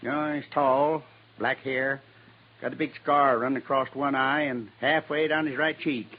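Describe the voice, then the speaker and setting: A man's voice: mid-range voice, fairly even intonation, unhurried, close to an everyday voice. An actor playing a proud, crippled buffalo hunter in his seventies in a radio western, with bitter, rustic, ungrammatical talk. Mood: vengeful. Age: 70s. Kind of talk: 1950s radio western acting. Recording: dull old 1950s radio recording.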